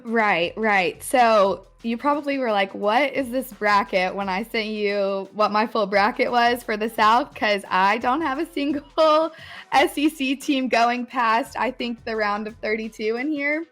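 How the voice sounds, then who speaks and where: A woman guest on a sports podcast, talking casually with a hoarse, scratchy voice and an eager manner that breaks into laughs. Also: on the high side, unhurried, fairly bright tone. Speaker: guest analyst.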